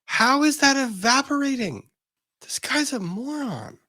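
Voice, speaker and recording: A man's voice: very high-pitched, expressive, measured pace, pushed and bright. A man hosting casual legal commentary in a blunt, exasperated, sometimes profane way.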